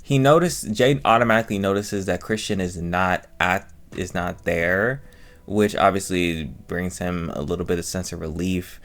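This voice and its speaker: A man's voice: sits low, moderate pace, fairly bright tone. A man giving a casual, chatty review commentary as host, rambling and repeating himself in slangy talk. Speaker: reviewer of an audio drama and channel host.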